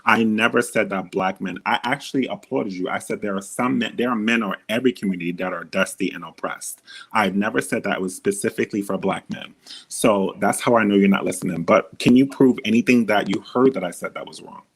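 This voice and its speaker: A man's voice: on the low side, brisk, vocal fry, husky. A man with a feminine-sounding voice hosting a heated live debate, often cutting in and repeating himself to take the floor. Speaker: host.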